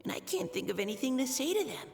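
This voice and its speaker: Nervous, animated young knight boy. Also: sits high, quick pace, gravelly, heavily acted. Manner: hesitant, anxious. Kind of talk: anime video game voice acting.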